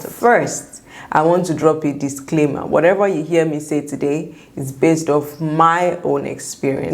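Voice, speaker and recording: Young Nigerian woman, low creaky voice. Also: a little mellow. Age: young adult. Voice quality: slightly croaky. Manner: emphatic, repeats words for stress. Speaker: vlogger sharing first-time mom advice. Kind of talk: chatty advice vlog to camera.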